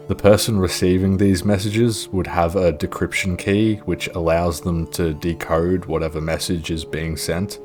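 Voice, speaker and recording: Deep-voiced man narrating casually over music. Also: a little mellow. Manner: informal, offhand. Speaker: video host and narrator.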